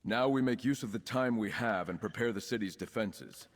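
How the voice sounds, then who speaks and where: A resolute young knight in a fantasy game. His voice is fairly low, formal and earnest, ringing through a long echoing hall. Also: normal speed. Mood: grave, grateful. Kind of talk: video game quest dialogue. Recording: long reverb of stone hall.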